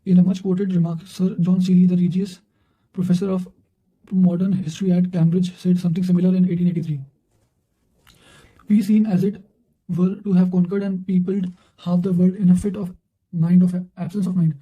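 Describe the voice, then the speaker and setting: A high-voiced man reads study material aloud in a very soft, dark tone. His delivery is fairly flat and halting, with frequent stumbles and repeated words. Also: highs cut off. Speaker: narrator reading revision notes. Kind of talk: reading quotations aloud for study revision.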